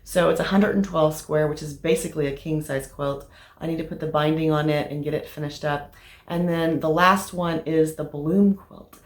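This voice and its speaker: A woman with a slightly raspy voice from allergies, chatting casually about her crafting progress with lots of fillers. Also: deep voice, steady tone, moderate pace. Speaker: crafting vlogger. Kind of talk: casual show-and-tell vlog. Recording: echoey room.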